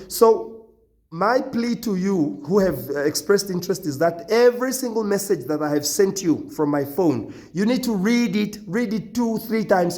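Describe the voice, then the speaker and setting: A man who founded a company, hoarse from nearly losing his voice, recording a halting, repetitive video announcement to job applicants with stern warnings. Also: on the high side, darkish tone.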